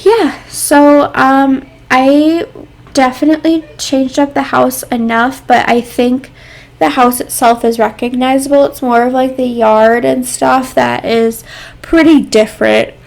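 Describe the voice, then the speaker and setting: Stuffy-nosed young woman, gravelly, rambling. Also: high-pitched, fairly even intonation, unhurried, a little mellow. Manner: rambling, lots of ums and likes. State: congested, stuffy and nasal. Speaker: game builder narrating a build. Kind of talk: casual voice-over commentary.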